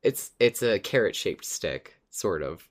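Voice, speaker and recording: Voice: deep voice, sing-song, a little slow. A podcast co-host who talks informally about a favourite show, in both recap and fan discussion. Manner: informal, sweary, often laughing. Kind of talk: scripted recap, then casual fan discussion.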